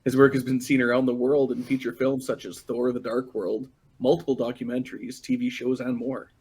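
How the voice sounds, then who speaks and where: A man co-hosting a podcast who teases the others, introduces the guest and asks questions with halting restarts. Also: mid-range voice, flat delivery, a little mellow, husky. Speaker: podcast co-host.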